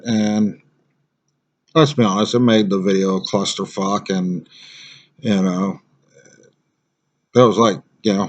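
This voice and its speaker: Male, American, fairly low pitch; plain-spoken survival instructor doing a loose, unscripted gear review. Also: a little mellow, dull audio. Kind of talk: casual unscripted product review.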